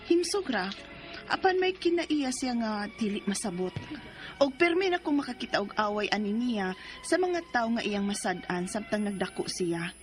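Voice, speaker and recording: An actress playing a young mother in a radio drama, who also narrates it, earnest and quick to defend herself. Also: mid-range voice, close to an everyday voice. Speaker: narrator and lead.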